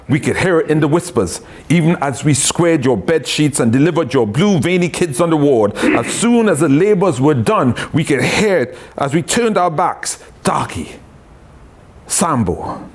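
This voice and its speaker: A poet from Trinidad with a Trinidadian accent, chatting loosely with many tag phrases and reading his own poetry. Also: fairly high pitch.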